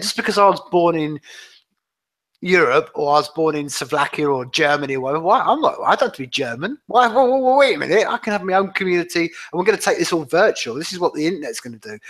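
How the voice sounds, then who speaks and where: A man hosting a casual, animated conversation, rambling along with repeated words and matey asides. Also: fairly high pitch.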